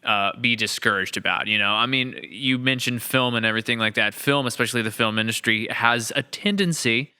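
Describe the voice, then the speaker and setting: A man's voice: medium pitch, fairly quick, hardly any pauses, bright, forceful tone, clear, resonant tone. A man hosting a casual podcast interview, talking in a wordy, thoughtful, rambling way. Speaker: podcast host and series writer.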